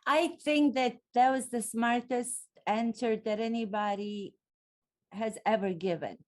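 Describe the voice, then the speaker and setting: A woman's voice: on the high side, unhurried. A woman from Romania with a Romanian accent, hosting an interview and talking expressively as an acting coach. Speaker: podcast host and acting coach. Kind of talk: interview conversation.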